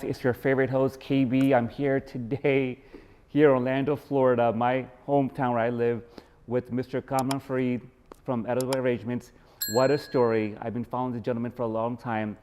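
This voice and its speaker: A man from Pakistan hosts a podcast, recording in a guest's home. His voice sits at a middle pitch, and he moves from grand, formal greetings to earnest, curious questions. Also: little pitch movement, brisk, dark tone, clean tone. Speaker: podcast host. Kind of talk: podcast interview. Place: guest's house.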